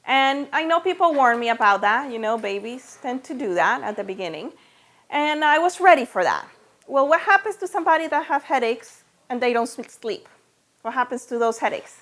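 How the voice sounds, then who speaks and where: Female speaker, middle-aged, Venezuelan Spanish accent, high-pitched voice, conversational health-practitioner talk to a small audience. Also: animated, normal speed, a bit bright. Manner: conversational, checks in with listeners with "okay?" and "right?".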